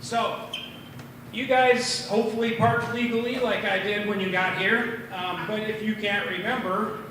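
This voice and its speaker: Casting: middle-aged American male amateur comic, high voice, rambling and halting, recorded from a distance in a very reverberant room. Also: steady tone, fairly bright tone. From Minnesota, United States. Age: 40s or older. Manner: rambling, halting delivery with tangents. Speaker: amateur stand-up comedian at an open mic. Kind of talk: stand-up comedy set. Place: bar open mic room. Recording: very echoey, distant room recording, clear noise.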